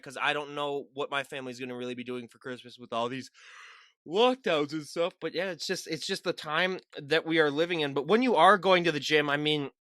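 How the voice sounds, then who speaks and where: Fast-talking bright American man host. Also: a little high, no echo, studio quality. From Minnesota, USA. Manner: rambling, many restarts and fillers. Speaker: podcast host. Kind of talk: casual interview chat.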